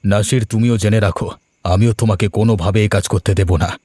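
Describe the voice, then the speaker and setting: A man's voice: fairly low pitch, steady tone, fairly quick, dark tone, slightly rough, no room sound. A man voicing a repentant crook in a cartoon, earnest and admonishing as he speaks. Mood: stern. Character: repentant male crook, an earnest, protective older comrade. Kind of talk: cartoon voice acting.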